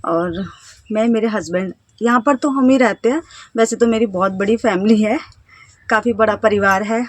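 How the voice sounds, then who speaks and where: A woman in her thirties from India, a housewife telling her life story to camera in a halting, hesitant way. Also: mid-range voice, no room sound. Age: 30s. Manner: hesitant, halting, with self-conscious laughs and trailing phrases. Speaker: vlogger, housewife. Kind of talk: casual personal storytelling to camera.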